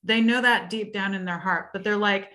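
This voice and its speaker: A woman's voice: mid-range voice, fairly even intonation, moderate pace, sharp tone. Earnest woman podcast co-host. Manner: earnest, questioning, with many "like" fillers. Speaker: podcast co-host and music educator. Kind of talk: casual podcast chat.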